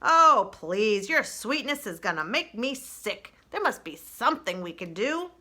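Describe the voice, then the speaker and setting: Woman playing a crusty, forceful old lady groundhog. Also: a little high, very animated, unhurried, heavily acted. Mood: gruffly earnest. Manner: folksy, emphatic speechifying. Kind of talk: children's storybook character voice.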